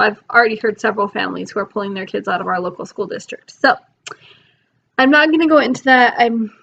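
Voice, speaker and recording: Young woman, homeschool vlogger, higher voice, recovering from a cold, chatty and filler-heavy; laptop mic, muffled lo-fi audio. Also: fairly bright tone. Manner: chatty, lots of ums, rambling. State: recovering from illness, losing her voice. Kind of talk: casual talk to camera.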